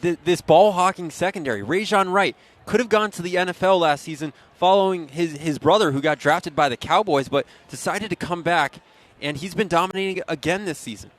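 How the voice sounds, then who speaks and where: Higher-pitched male football color commentator. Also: a little fast. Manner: conversational, explanatory, opinionated. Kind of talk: live sports analysis.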